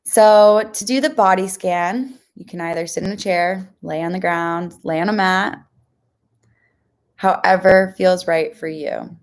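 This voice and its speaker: A woman leading a guided mindfulness meditation, speaking to listeners in a gentle, reassuring, informal way. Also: on the low side, unhurried.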